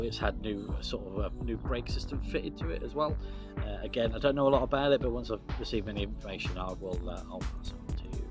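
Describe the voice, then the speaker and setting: A man, a train guard, giving a casual field update in a hesitant, friendly way with many fillers. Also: medium pitch.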